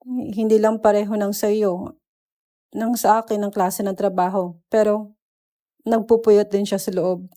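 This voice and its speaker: A woman voicing a weary, mild-mannered older brother, gently pleading in a dramatized story. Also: medium pitch, flat delivery, unhurried, pauses often, lightly acted. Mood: gentle pleading.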